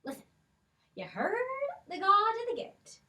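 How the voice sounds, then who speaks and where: A plucky, clever young girl in a children's puppet show, played by a woman, very high and bright, bouncing through her lines at an unhurried pace. The mic sits some distance away in the room. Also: sing-song, full character voice. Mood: scolding. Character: brave, clever poor young girl Molly.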